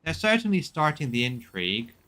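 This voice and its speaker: A man's voice: a little high, big pitch swings, brisk, pushed and bright. A man doing casual video game commentary as a streamer, chatting and reading lines aloud.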